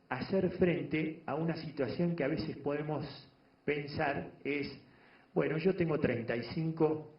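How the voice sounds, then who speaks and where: A man giving a measured lecture on meditation to an audience, pausing often and restarting phrases. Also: mid-range voice. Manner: measured, explanatory, frequent pauses and restarts. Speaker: doctor giving a talk on meditation. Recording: echoey room, dull lo-fi sound.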